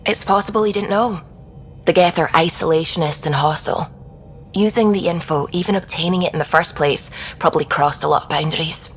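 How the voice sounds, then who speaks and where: A woman playing a thoughtful, devout scientist on a space expedition, explaining things in a measured, reflective way in video game dialogue. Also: on the low side, faint music behind, close to an everyday voice. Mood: explanatory. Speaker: science officer character.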